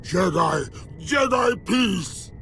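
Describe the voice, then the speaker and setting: An actor playing a brutish, impatient alien raider leader in a video game, barking broken few-word commands in a gravelly voice. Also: male voice, high voice, expressive, extremely slow, fairly bright tone, shouting, slight background noise, heavily acted. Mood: demanding. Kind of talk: video game creature acting.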